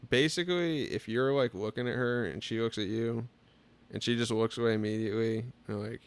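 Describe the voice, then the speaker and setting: A man in his twenties, a podcast guest, chatting casually and telling stories in a relaxed way, full of fillers. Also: mid-range voice, a little fast, clean tone. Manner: relaxed storytelling, lots of filler "like". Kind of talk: casual chat.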